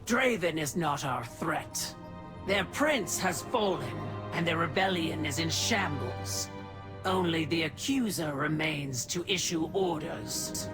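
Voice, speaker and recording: A man playing a calm, calculating schemer in a fantasy video game, speaking in a measured, cool manner. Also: a little high. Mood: cool assurance. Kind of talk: dramatic video game acting. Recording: orchestral music behind.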